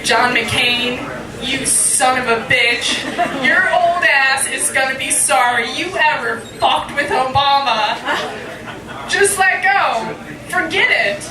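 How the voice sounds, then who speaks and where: A woman reads her poetry live in a higher-pitched voice, with deliberate phrasing and a frank, informal streak. She is picked up from a distance in an echoey room, with a loud crowd around her. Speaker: poet, featured reader. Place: live reading in a cafe.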